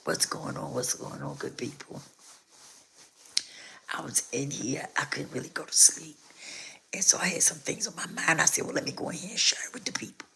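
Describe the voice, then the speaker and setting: A low-pitched woman whose voice has gone hoarse and rough, half whispering with a gravelly fry through much of it. She hosts a casual live stream, circling back over the same points as she speaks directly to her viewers. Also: animated, moderate pace, bright, forceful tone. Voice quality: rough, scratchy voice. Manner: repetitive, talks straight to viewers.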